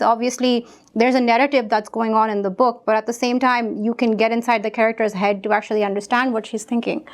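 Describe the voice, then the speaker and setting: A young woman from Pakistan, a guest author, talking casually in an interview with many fillers and restarts. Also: fairly high pitch.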